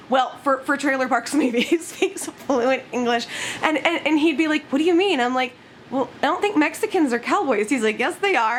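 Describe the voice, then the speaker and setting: Young female vlogger, bright higher voice, a little scratchy, rambling and laughing often. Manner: giggly, rambling, frequent laughs and swearing. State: scratchy just-woken voice. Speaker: YouTuber. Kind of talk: casual vlog chat.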